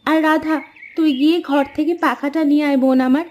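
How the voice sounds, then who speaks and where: A woman's voice: high-pitched, steady tone, a little fast, darkish tone, close to an everyday voice. An actress playing a caring eldest daughter in a cartoon, speaking in a soothing way to her father. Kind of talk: Bengali cartoon voice acting. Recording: music underneath.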